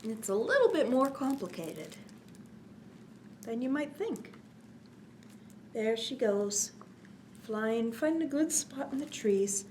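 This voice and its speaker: Lady doing story time for little kids, high voice, takes it slow, stops to explain stuff and ask questions. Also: slight background noise. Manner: expressive, sing-song emphasis, pausing to explain and ask questions. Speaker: children's story time host. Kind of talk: read-aloud picture book storytelling for young children.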